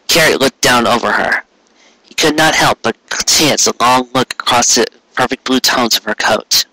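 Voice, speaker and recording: Male story narrator, bright forceful voice, dry close sound. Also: mid-range voice, no echo, poor audio. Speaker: amateur fan fiction reader. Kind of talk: scripted narration, storybook reading.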